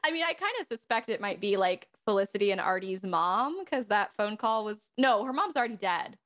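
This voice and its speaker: A woman's voice: mid-range voice, animated, fairly quick, a bit bright. A young woman chatting casually as a panel guest on a video call, thinking aloud as she goes. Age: young adult. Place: room at home, video call.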